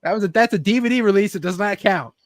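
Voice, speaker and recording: A man hosting a casual pop-culture panel, talking in a rambling, halting way and swearing freely. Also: high voice, no echo, clean studio sound. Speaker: host of a panel show.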